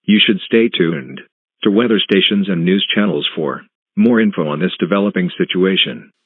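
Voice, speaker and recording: A man's voice: deep voice, moderate pace, husky, no echo. A man reading a scripted emergency broadcast announcement in a formal, stilted way. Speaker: announcer of news and emergency alerts. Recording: muffled phone-like lo-fi sound.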